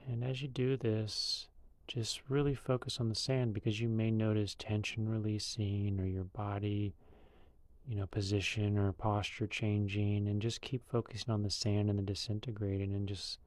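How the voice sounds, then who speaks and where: A man leading a guided meditation in a calm, unhurried, loosely conversational way. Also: fairly low pitch, dry recording.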